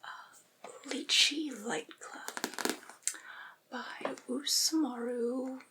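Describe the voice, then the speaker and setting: A woman playing a vampire's devoted, patient familiar in a whispered ASMR roleplay, speaking softly and breathily in a coaxing, chatty, gently teasing way. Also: high voice, steady tone, very slow, exaggerated voice.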